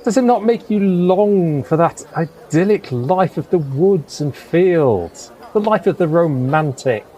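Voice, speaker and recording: An actor playing a dreamy, melancholy gentleman poet in a period audio drama, with a wistful, literary manner. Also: on the high side, animated, unhurried, few pauses.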